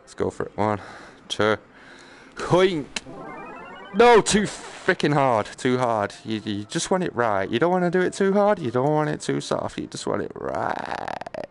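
A man's voice: mid-range voice, very animated, measured pace, vocal fry. A man giving casual live game commentary, muttering and exclaiming to himself in a jokey, self-deprecating way. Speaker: gaming streamer. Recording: noticeable background noise, game ambience.

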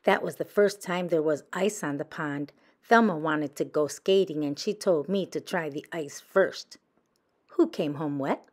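A woman's voice: deep voice, a little slow, a little mellow. Woman reading as cautioning mother badger. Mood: questioning. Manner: admonishing, questioning. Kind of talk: children's picture book read-aloud.

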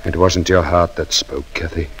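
A man's voice: low voice, fairly even intonation, normal speed, fairly bright tone, soft background music, poor audio. An actor playing a bitter, passionate young stable boy with intense theatrical delivery in a 1940s radio drama. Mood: tender, wistful.

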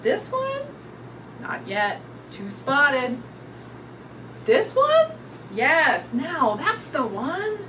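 A middle-aged woman reading a picture book aloud to young children in an animated, sing-song way. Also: sits high, extremely slow, long pauses. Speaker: storytime reader. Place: indoors in front of a curtain backdrop. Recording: distant mic with clear background noise.

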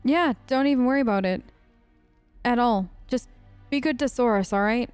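A woman's voice: fairly high pitch, normal speed, a little mellow, clean tone, dry recording, soft background music. Casual woman role-playing, dry close sound. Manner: informal, conversational. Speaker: let's play gamer role-playing her character. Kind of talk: casual in-character role-play narration.

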